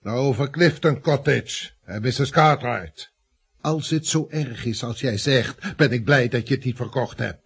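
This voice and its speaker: A man's voice: mid-range voice, unhurried, breathy edge. A man acting in a Dutch radio play as a domineering older estate agency boss, curt, commanding and self-assured. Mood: stern, sarcastic.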